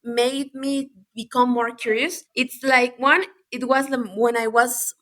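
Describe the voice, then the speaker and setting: Bright-voiced woman. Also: a little high, takes it slowly. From Galapagos Islands, Ecuador. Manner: lively, many restarts and fillers. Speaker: guest. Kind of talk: casual interview talk.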